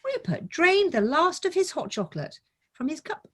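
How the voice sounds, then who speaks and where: A woman's voice: extremely high pitch, sing-song, moderate pace, a bit bright. Middle-aged woman telling a children's story. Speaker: guest author reading her children's book. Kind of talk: casual storytelling, then read-aloud of a picture book.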